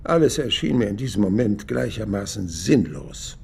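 An actor playing a reflective, disillusioned elderly radio dramatist in a monologue. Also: male voice, lowish voice, sing-song, moderate pace, creaky, darkish tone, a little hiss or hum. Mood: reflective, resigned. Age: older.

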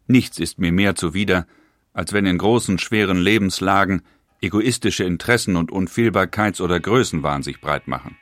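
A man's voice: low-pitched, dry recording, close to an everyday voice. Man reading a stern elder brother's letters. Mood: grave. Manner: measured, grave and formal. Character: stern elder brother, head of a family firm. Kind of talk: reading a historical letter aloud.

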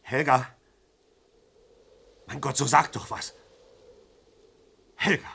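An actor playing a desperate chief inspector and protective husband in a 1970s German radio crime drama, speaking with anguished intensity. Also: male voice, mid-range voice, steady tone, slow, gravelly, bright, forceful tone, slightly rough, exaggerated voice. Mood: frantic, worried. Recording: noisy 1970s radio play recording.

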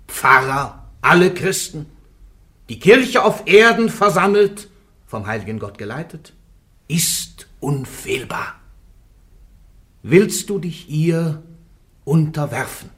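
A stern churchly interrogator. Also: male voice, mid-range voice, a bit bright. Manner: stern, admonishing, lecturing. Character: stern male church interrogator, middle-aged. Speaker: interrogating judge. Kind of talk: radio play acting.

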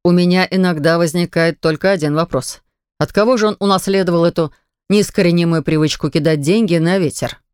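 A woman's voice: lowish voice, brisk, a little mellow, clear, resonant tone, no echo, studio quality, pitched below the performer's own voice, natural voice. A woman voicing an irritable, tired father who lectures his young son in a children's storybook. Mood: exasperated. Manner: stern, exasperated, lecturing. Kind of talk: audiobook character reading.

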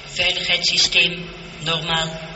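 An actress playing a calm, logical, seductive spaceship computer in a radio drama, speaking in a measured, precise and unemotional way. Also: female voice, fairly low pitch. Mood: brisk, businesslike. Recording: muffled, echoey, noisy old radio recording.

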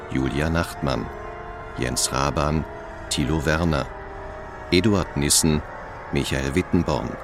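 A man reading scripted radio drama credits in a measured, formal manner. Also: rumbling low. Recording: music under the voice.

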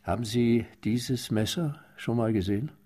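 An actor playing a blunt, sarcastic police detective questioning suspects in a radio crime drama. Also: male voice, mid-range voice, moderate pace, dark tone, a little hiss or hum, close to an everyday voice. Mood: pressing. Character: tough, sardonic male police detective.

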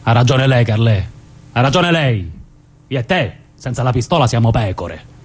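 An actor playing a bitter, defiant, reflective dead mob killer, in a theatrical dramatic reading. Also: male voice, medium pitch, animated, normal speed, slightly rough.

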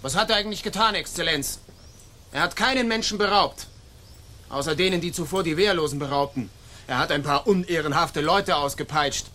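Bold, high-voiced young gentleman rebel. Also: brisk, slight background noise. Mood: defiant. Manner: declamatory, insistent. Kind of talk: German radio drama acting.

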